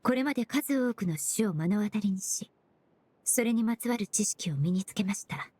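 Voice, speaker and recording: A woman voicing a composed, courteous young forensic cleaner in an anime game dub, speaking formally and analytically in measured phrases. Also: medium pitch, expressive, darkish tone, breathy edge, dry recording. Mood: composed, solemn. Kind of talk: Japanese anime game dubbing.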